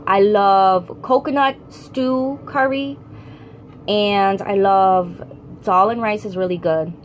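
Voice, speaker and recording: A woman's voice: mid-range voice, slow pace, a little hiss or hum. A young woman from the United States with an American accent, chatting casually to camera in a rambling way and often correcting herself. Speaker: vlogger.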